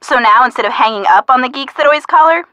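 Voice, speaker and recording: A skeptical young female sidekick in a video game, bright and crisp-edged with lively swings in pitch. She is wry and blunt, quick to question things. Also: no echo. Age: young adult. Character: wry, skeptical, no-nonsense young woman, detective's friend. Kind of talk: video game voice acting, phone conversation.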